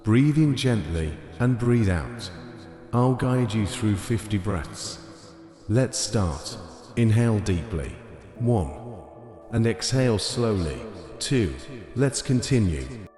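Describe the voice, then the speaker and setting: A man narrating a scripted guided meditation in a gentle, unhurried manner, with short phrases spaced apart. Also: lowish voice, lively intonation. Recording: echoey long reverb over ambient music. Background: soft ambient music.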